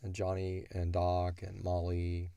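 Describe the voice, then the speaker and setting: A man's voice: sits low, monotone, a little slow, creaky, dark tone, clean tone, no echo. A man who creates audio drama, talking casually and colloquially through his story in a rambling way. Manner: rambling, colloquial, often voicing characters' thoughts. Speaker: audio drama creator answering listener questions.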